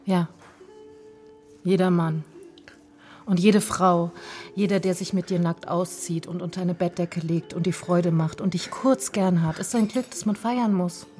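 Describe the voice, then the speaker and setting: An actress playing a self-assured, provocative film star in a German radio drama, teasing and cool, sometimes near a whisper. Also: female voice, fairly low pitch, fairly even intonation, unhurried, mellow, faint music behind, close to an everyday voice. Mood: worldly, defiant.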